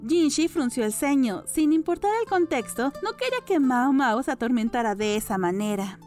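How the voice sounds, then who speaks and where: Mellow woman narrating a novel over music. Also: sits high, expressive, moderate pace. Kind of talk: scripted audiobook narration. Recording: music under the voice. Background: background music.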